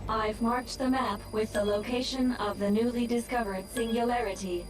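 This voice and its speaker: An actress playing a polite, literal-minded machine intelligence in a video game, formal and measured. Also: female voice, on the high side, fairly even intonation, natural voice. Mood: polite, composed. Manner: formal, measured, matter-of-fact. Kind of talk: video game cutscene acting. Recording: distant voice with music behind.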